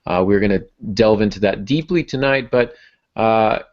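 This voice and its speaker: A man's voice: fairly low pitch, normal speed, muffled recording. A man hosting a writing podcast in casual talk, with a self-deprecating, joking manner. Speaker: podcast host and fiction writer.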